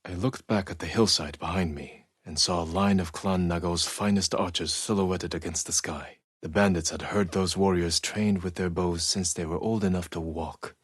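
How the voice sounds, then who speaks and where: An actor playing a stoic, honorable samurai warrior in a video game, with restrained, solemn, reflective delivery and a soft-edged husky voice. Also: male voice, low-pitched, fairly even intonation, moderate pace, hardly any pauses, no room sound, studio quality. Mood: solemn, earnest. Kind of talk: dramatic video game acting, reflective monologue.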